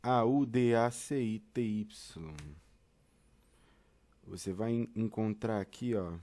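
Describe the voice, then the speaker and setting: A man's voice: on the low side, steady tone, slow, dark tone. A man with a Brazilian accent giving an informal step-by-step tutorial, talking directly to listeners and often checking in with them. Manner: conversational, addresses listeners directly with frequent check-ins. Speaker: instructor presenting a tutorial.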